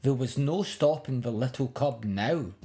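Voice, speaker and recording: A woman reading a picture book aloud to young children in an expressive, dramatic way. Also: rumbling low, a little slow. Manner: expressive, dramatic, with emphatic sound words.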